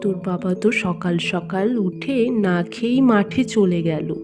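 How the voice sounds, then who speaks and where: A woman voicing a poor farmer's caring wife and mother in a cartoon, gentle and soothing, over loud background music. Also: medium pitch, moderate pace, close to an everyday voice.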